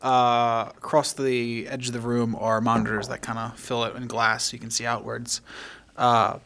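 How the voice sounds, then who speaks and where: A man's voice: mid-range voice, flat delivery, normal speed, vocal fry, fairly bright tone, a little hiss or hum. A man narrating a tabletop game scene in a casual, hesitant and understated way.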